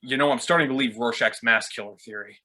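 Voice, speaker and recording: A man's voice: medium pitch, fast talker, heavy background noise, close to an everyday voice. A man playing an awkward, earnest middle-aged costumed vigilante in a fan-made drama, hesitant and stammering. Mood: earnest, flustered. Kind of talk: fan-made dramatic voice acting over video call.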